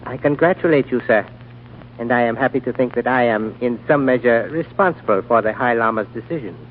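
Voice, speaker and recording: A polite, ceremonious servant, played by a man, speaking formally and with deference at a middling pitch. The audio is thin and phone-like, with faint hiss behind it. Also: fairly quick, natural voice. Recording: tinny old radio broadcast, faint hiss.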